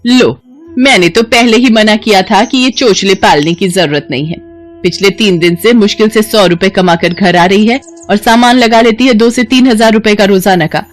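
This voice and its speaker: A woman's voice: medium pitch, normal speed, soft background music, natural voice. A woman performs an older mother-in-law from a cartoon story. She is scornful and nagging. Her delivery is sarcastic and scolding. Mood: angry, sarcastic.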